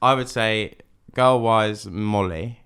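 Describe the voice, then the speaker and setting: A man co-hosting a podcast, chatting casually with lots of ums and pauses. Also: on the low side, fairly bright tone, clear, resonant tone. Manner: hesitant, many ums, laughs often. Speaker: podcast co-host. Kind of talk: casual banter.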